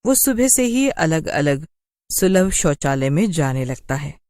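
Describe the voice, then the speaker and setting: A woman's voice: low voice, big pitch swings, measured pace, darkish tone, no room sound, studio quality. A woman narrating a scripted cartoon story in a dramatic storytelling style.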